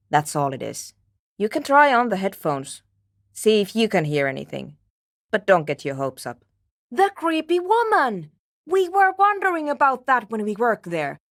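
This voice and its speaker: Prickly obsessive programmer woman for a video game, highish voice that swings around a lot, terse and tired. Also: fairly bright tone, no room sound, clean studio sound. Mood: weary, defeated, then intrigued, explaining. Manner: terse, exasperated, precise. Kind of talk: dramatic game dialogue.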